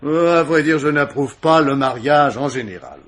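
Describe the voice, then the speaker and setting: An actor playing an urbane, witty, self-absorbed older gentleman of leisure in a radio mystery, speaking with mannered, ironic asides. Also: fairly high pitch, moderate pace. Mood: philosophical, cynical. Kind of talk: vintage French radio mystery acting.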